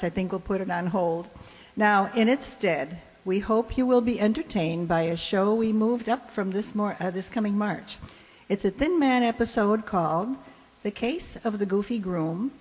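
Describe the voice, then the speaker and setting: A woman host giving a prepared introduction to a live audience in a playful, wry, chatty way. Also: mid-range voice. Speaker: host introducing a radio players' show. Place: indoor hall in front of an audience. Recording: echoey hall, live stage.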